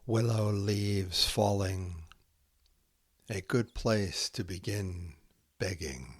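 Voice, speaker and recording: Guy reading haiku super slowly, lowish voice, short deliberate phrases, dry close sound. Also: husky, no echo. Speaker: podcast host reading poetry. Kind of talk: slow, spare haiku recitation.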